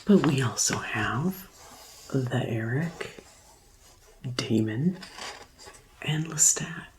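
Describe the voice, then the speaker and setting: A woman speaks softly in an ASMR roleplay, often slipping into a whisper. Her voice is very low for a woman and she takes her time with each phrase, in a gentle customer-service manner. A faint hiss sits under the recording. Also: lively intonation, low-quality recording. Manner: slow, gentle, deliberate customer-service delivery. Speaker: ASMR performer playing a vampire conversion receptionist.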